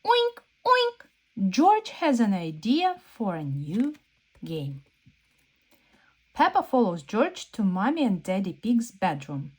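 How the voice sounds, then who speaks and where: A woman reading a children's story aloud in an expressive, unhurried way, with playful touches for preschoolers. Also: medium pitch, slightly rough. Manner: animated, unhurried, adds playful sound effects.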